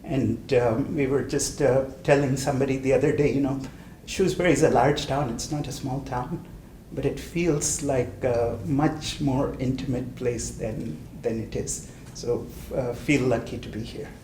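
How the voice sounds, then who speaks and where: Man from India, late 40s, unhurried panel storyteller, hesitant and chuckling; echoey room, light hiss. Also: mid-range voice. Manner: relaxed, halting, with frequent fillers and little laughs. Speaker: panel speaker. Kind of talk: personal story at a community event. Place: library event room.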